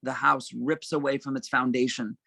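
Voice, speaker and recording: Bright, creaky male stage-direction reader. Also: medium pitch, flat delivery, normal speed, no echo. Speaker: narrator reading stage directions. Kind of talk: scripted narration at an amateur table read.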